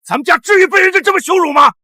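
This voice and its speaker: A man's voice: very high-pitched, racing, sharp tone, no echo, raised voice, studio quality, full character voice. An actor playing a blustering, contemptuous middle-aged businessman father in a melodramatic short drama. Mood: furious. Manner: indignant, overbearing.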